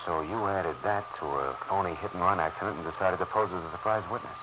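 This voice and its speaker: A man's voice: low voice, fast, lightly acted. A man acting as a tough, wry private detective in a 1940s radio drama, narrating and talking in a clipped, hard-boiled way. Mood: cool, confronting. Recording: dull, very noisy old radio recording.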